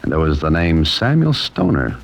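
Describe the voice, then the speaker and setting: An actor playing a wry, cool-headed amateur sleuth, with dry, clipped delivery in the style of an old-time radio mystery. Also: male voice, very deep voice, wildly swooping pitch, moderate pace, vocal fry, full character voice. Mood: tense, determined. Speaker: narrator and lead character. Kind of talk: old-time radio mystery acting, hardboiled first-person narration.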